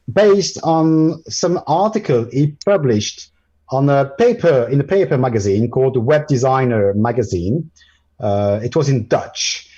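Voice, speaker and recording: Male presenter, French native speaker, mellow tone, stop-start delivery, muffled call audio. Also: on the high side, slightly rough. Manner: hesitant, many ums and restarts. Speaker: guest presenter. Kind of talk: live screen-share tutorial.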